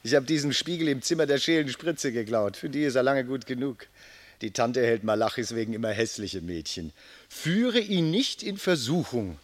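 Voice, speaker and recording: An actor playing a boisterous, mocking young medical student in a German radio drama, theatrical and teasing, swinging from declaiming to muttering. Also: male voice, medium pitch, expressive, moderate pace, lightly acted. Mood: mocking, confiding.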